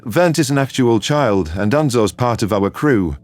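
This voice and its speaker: Sci-fi story human man voiced by a narrator, medium pitch, calm, reasonable, protective, persuasive. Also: moderate pace, faint music behind, natural voice.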